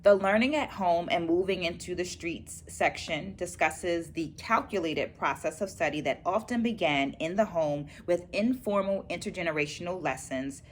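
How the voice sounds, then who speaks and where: Casting: woman, middle-aged, lowish voice, careful educator delivery for a book vlog, noticeable ambient noise. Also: moderate pace, hardly any pauses. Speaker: dance educator and vlogger. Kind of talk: book-review vlog, presenting takeaways to viewers. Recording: clear background noise, room ambience.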